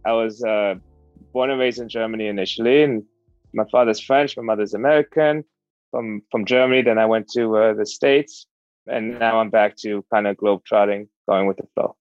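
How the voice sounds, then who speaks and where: A man in his thirties casually telling his own love story in a relaxed, rambling way, with plenty of fillers. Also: on the low side, moderate pace, dry recording, dull audio, soft background music. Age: 30s. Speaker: interviewee.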